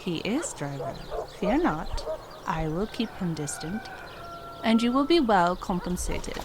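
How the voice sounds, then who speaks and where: A woman's voice: lowish voice, sing-song, unhurried, noisy background. A woman playing a compassionate, resolute young medieval queen in an audio drama, earnest and formal in her theatrical delivery. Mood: gentle.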